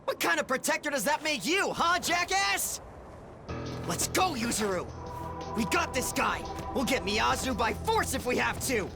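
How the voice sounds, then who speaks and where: A man's voice: very high voice, moderate pace, creaky, exaggerated voice. A man voicing a brash, loyal teenage boy in a video game, slangy and eager. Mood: defiant. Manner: casual, excitable, slangy. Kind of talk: anime-style video game voice acting. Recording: loud game music behind. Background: game soundtrack music.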